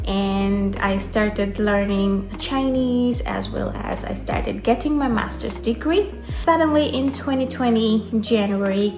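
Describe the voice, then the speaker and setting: Young woman vlogger from Bangladesh, higher voice, relaxed storytelling with fillers, roomy echo. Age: young adult. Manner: unhurried, conversational, with frequent fillers. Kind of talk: personal story vlog.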